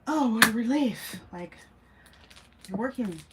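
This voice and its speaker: A woman's voice: on the high side, expressive, measured pace. A woman giving a casual, musing self-help talk as a host, rambling through asides and emphatic repeats. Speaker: host, former teacher. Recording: clearly noisy amateur recording.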